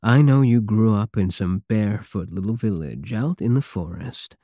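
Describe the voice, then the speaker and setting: A man's voice: on the low side, animated, warm tone, no echo, studio quality. A man playing a big, teasing, possessive space pirate king in an intimate, sardonic ASMR roleplay. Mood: intense, possessive. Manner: slow, teasing, purring endearments, sardonic.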